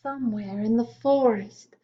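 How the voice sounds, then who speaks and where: A woman reading a children's picture-book poem aloud in a slow, gentle storytime manner with soft hushed asides. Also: fairly high pitch, slightly rough, highs cut off. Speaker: storytime reader.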